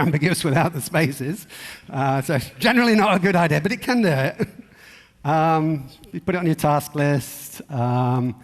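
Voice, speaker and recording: Male conference presenter, fairly high-pitched, informal and wry storyteller, recorded with hall reverberation. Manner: conversational, wry, anecdotal. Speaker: conference speaker.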